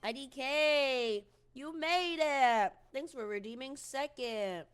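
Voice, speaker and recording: A woman's voice: sits high, takes it slowly, clean tone, soft background music. A young woman streaming, chatting casually with viewers in a rambling way with lots of ums, her voice recovering from a cold.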